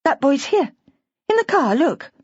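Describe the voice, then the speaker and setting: A woman voicing a forceful young wife who pleads and presses her husband for the truth. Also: high voice, very animated, measured pace, dry recording, muffled recording, voice pushed up. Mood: urgent. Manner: urgent, insistent.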